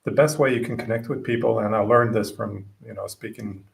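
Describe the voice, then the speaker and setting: Finnish-born guy, swedish native, kinda low voice, talks in stops and starts, sits back from the mic. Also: steady tone, vocal fry, recorded from a distance. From Finland. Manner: halting, many fillers and restarts. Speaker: podcast guest, gym owner. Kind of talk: casual interview chat.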